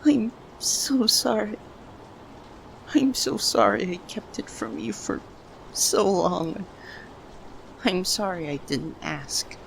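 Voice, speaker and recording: A man acts out a young man hopelessly in love with a childhood best friend, in an intimate audio roleplay. The delivery is slow and broken by pauses, with a medium-pitched voice that rises and falls freely with feeling. Some background noise sits behind the voice. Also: sing-song, vocal fry, fairly bright tone, heavily acted, rough recording. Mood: apologetic, relieved. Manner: halting, breaks phrases with long pauses. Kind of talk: ASMR roleplay, emotional dramatic monologue.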